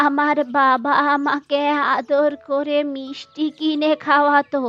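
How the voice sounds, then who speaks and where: A woman voicing a frail, tender old village grandmother in a cartoon story, wistful in manner. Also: sits high. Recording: dull lo-fi cartoon audio with music.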